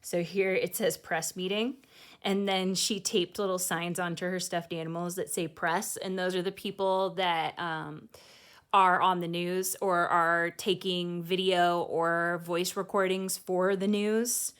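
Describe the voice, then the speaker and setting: A woman's voice: fairly low pitch, level tone, a little slow, fairly bright tone, smooth. A woman speaking casually as a teacher hosting a picture-book read-aloud, with chatty, informal asides.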